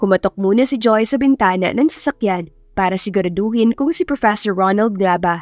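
A woman narrates a scripted romance as an audiobook reader. Her voice is mid-pitched and recorded dry and close, with music playing underneath. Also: a little fast, darkish tone, no room sound.

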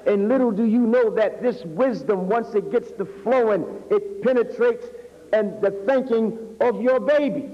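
A man's voice: high-pitched, a little slow. A middle-aged American man delivering an emphatic sermon, drawing out key words and putting rhetorical questions to his listeners. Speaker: minister preaching at a mosque. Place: indoor hall, speaking at a lectern. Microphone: two podium microphones. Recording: reverberant hall, dull lo-fi old video sound.

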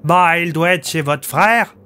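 A man's voice: fairly high pitch, unhurried, bright, forceful tone, faint music behind, pitched above the performer's own voice. A man voicing a naive, dim-witted, chatty police sergeant in an audio story, hesitant and guileless. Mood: innocent, surprised.

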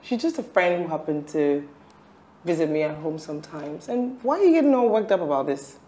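An actress playing a defiant, calculating wife and mother in a screen drama, arguing with a sharp tongue. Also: low-pitched, big pitch swings, brisk. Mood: evasive, defensive.

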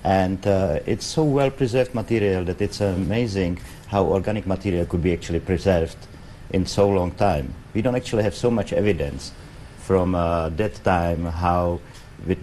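A middle-aged man with a Czech accent, a guest in a TV studio interview, speaking halting English with many fillers. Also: low voice, dark tone, a little hiss or hum. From Czech Republic. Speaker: pavilion guest. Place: TV studio set.

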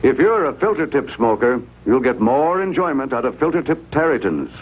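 A man's voice: mid-range voice, sing-song, a little fast, slight background noise. A man reading a scripted 1950s television advertisement as a commercial announcer. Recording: tinny, faintly humming 1950s commercial audio.

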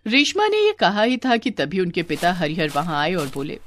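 A woman narrating a scripted story in an expressive style. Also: on the low side, normal speed.

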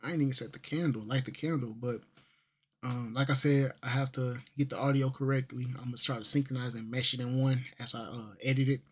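A man's voice: medium pitch, fairly even intonation, a little fast, slightly rough. A man, vlogging casually from a small bedroom into a desk microphone, loose and rambling with lots of fillers and slang. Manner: laid-back, rambling, heavy on fillers and slang. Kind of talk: casual fitness vlog. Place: small bedroom with gaming setup.

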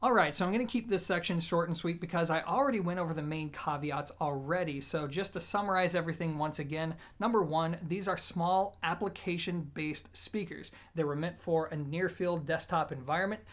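A man's voice: on the high side, a little fast, hardly any pauses, fairly bright tone, soft background music. A man, giving a conversational, emphatic product review to camera in an explanatory, informal way. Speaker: audio gear reviewer and host. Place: small indoor room, plain wall.

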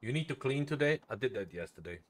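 A man's voice: mid-range voice, animated, quick pace. A man chatting casually while streaming a game, swearing freely and repeating phrases playfully. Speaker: live streamer playing a roleplay game.

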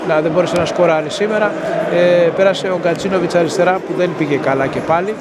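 A middle-aged man giving a steady, matter-of-fact postgame match report to a phone camera outside a stadium at night, with many hesitation fillers. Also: high voice, over a noisy crowd. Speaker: sports reporter. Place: outdoors near a stadium at night. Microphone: in-ear earphone mic, phone selfie framing. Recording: phone recording with loud outdoor noise. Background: loud urban outdoor ambience.

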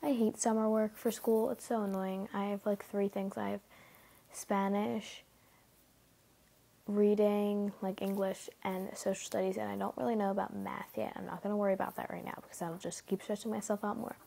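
A teenage girl talks to her camera from her bed late at night, stuffy from a cold. Her voice is mid-pitched and gravelly with fry. She rambles freely, stringing thoughts together with fillers and sometimes lowering to a near whisper. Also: little pitch movement, normal speed. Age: teens. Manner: rambling, filler-heavy, often hushed. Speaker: vlogger. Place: bedroom at night, sitting on bed.

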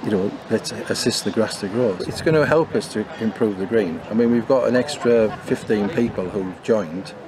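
A man's voice: fairly low pitch, normal speed, few pauses, crowd noise. An older man, a bowling club committee member, speaking in an outdoor interview with many fillers and restarts. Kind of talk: interview talk.

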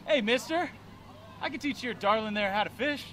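A man's voice: extremely high pitch, animated, normal speed, bright, forceful tone, some chatter behind, heavily acted. A man in his thirties playing a cocky, earnest fishing instructor in an improvised parody film, recorded outdoors. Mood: eager, instructing. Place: outdoors by a lake. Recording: noisy outdoor lakeside film audio.

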